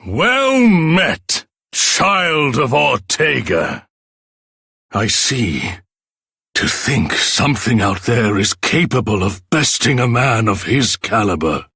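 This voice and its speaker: A grand, slow-speaking king. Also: medium pitch, sing-song, creaky, sharp tone, slightly rough, no room sound, studio quality, heavily acted. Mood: grand, celebratory, then solemn. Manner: regal, stately, declamatory. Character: grand elderly king, solemn and pompous. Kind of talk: theatrical fantasy game voice acting.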